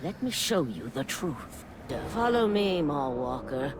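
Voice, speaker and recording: A woman's voice: low voice, big pitch swings, takes it slowly, noisy background, exaggerated voice. An actress playing a defiant, solemn rebel noblewoman, grave and commanding, in a video game cinematic. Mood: grave, urgent. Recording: music behind the voice.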